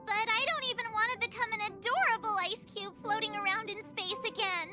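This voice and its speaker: An actress playing a cute, perky young girl in a video game, melodramatic and expressive, with a whiny edge. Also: extremely high pitch, brisk, faint music behind. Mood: anxious, whiny.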